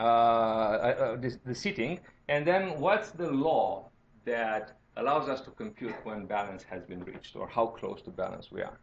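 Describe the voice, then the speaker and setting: A man from Romania with a Romanian accent, lecturing informally as a university teacher and often checking in with "right?" and "okay?". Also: mid-range voice. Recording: dull, lo-fi lecture recording.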